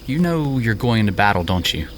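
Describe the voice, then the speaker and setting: A man's voice: on the low side, sing-song, fairly quick, a bit bright, faint music behind. A man playing a blunt, needling companion in an audio drama. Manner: blunt, teasing. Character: bored, needling, animated male companion to a soldier.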